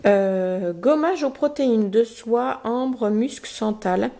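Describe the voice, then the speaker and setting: A woman with a cold and a blocked nose, chatting through a beauty box review with many pauses and fillers and reading product cards aloud. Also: a little high, gravelly, dark tone. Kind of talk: casual unboxing review.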